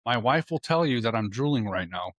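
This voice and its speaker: Guy hosting a chat, slangy and excitable, medium pitch, no room echo at all. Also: a little fast. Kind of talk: casual chat.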